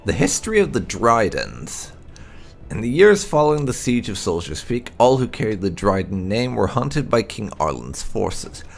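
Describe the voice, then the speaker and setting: A man narrating fantasy game lore aloud, with deliberate, dramatic emphasis as a video host. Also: on the low side, very animated, creaky.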